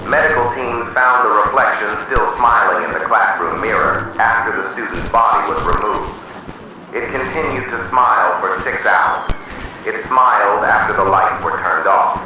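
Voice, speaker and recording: A man's voice: lowish voice, expressive. Heavily echoing, solemn male emergency announcer. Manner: solemn, measured, official. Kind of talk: scripted analog horror emergency announcement. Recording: distant, heavily echoing, noisy emergency broadcast.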